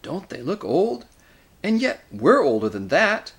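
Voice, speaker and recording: Man doing eager storybook schoolboy, hushed n amazed, sing-song pitch. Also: huge pitch swings, unhurried, slightly rough, heavily acted. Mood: awed. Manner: whispering, wondering. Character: awed schoolboy adventurer, curious and eager.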